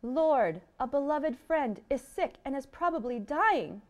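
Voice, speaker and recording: A woman's voice: high voice, expressive, unhurried, lo-fi sound. A woman voicing a weary, worried male messenger in a children's verse story, urgent and pleading.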